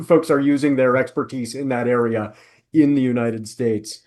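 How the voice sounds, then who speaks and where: Mid-pitched man hosting a casual interview. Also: normal speed, darkish tone. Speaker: podcast host.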